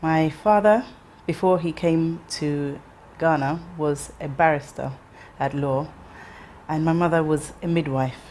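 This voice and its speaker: A woman in her thirties, raised in England, telling her life story in an interview in a measured, reflective way with frequent pauses and restarts. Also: deep voice, darkish tone, a little hiss or hum. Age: 30s.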